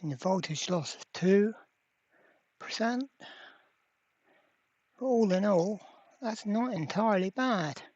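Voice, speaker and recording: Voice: medium pitch, expressive, slow, long pauses, a little mellow, breathy edge, no room sound, lo-fi sound. A person narrating a hands-on repair at a workbench in a casual way, muttering to themselves. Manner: mutters to self, mild swearing. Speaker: electronics repairer presenting a workbench video. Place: indoor workbench.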